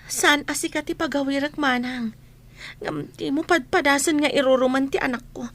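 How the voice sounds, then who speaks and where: A woman's voice: high-pitched, moderate pace, fairly bright tone. A woman playing a wronged, defiant young sister, pleading but firm, in a radio drama with an Ilocano accent.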